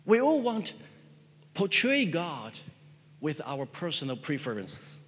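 Male pastor, Chinese native speaker, earnest sermon, slow and lively delivery, long hall reverb. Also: fairly high pitch, slightly rough. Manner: earnest, repeats phrases, stammering restarts.

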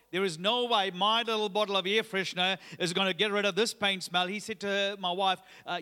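A man's voice: sits high, sharp tone, clean tone. A man in his thirties, originally from Sri Lanka, preaching as a guest pastor. He talks in an upbeat, chatty way with many "uh" fillers. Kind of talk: sermon with personal story. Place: church auditorium. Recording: long reverb of a church hall.

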